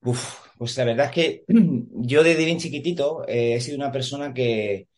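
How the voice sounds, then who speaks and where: A man in his forties from Madrid talks earnestly on a video call, stumbling through fillers and restarting his words. His voice sits at a mid pitch for a man. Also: moderate pace, darkish tone. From Madrid, Spain. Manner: hesitant, many fillers and repeated words, earnest. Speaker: podcast guest, police officer and emotional coach. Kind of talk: casual conversational interview.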